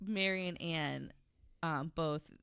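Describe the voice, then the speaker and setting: Low, smooth-voiced woman telling a story casually. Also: slow, a bit bright. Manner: chatty, informal, jokey asides. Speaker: podcast guest panelist telling a story.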